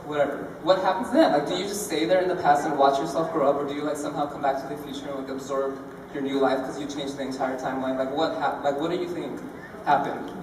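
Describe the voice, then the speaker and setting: A man's voice: on the high side, fairly even intonation, fast, noisy background. A man asking a rambling question at a convention Q&A, full of fillers. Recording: echoey hall, distant mic, crowd.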